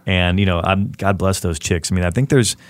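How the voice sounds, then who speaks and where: Man, low voice, laid-back interviewer, chatty, dry close sound. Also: fairly quick, no room sound. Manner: relaxed, talkative, asks long questions. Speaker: interviewer, fellow musician. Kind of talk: casual interview chat.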